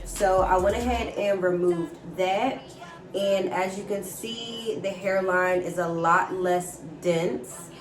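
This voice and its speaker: A woman's voice: fairly low pitch, steady tone, slow pace. A woman with a stuffy nose from a cold, chatting casually to viewers as she walks through a wig install. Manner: chatty, keeps addressing viewers as guys. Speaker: hair vlogger. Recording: roomy sound from a distant mic with music. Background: background music.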